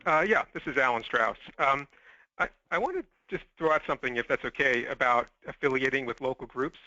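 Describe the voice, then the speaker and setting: Fast, bright-voiced man on a conference call. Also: medium pitch, expressive, no room sound. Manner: tentative, qualifying. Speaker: call participant, recruiting group organizer. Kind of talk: informal opinion on a conference call. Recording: dull conference call line.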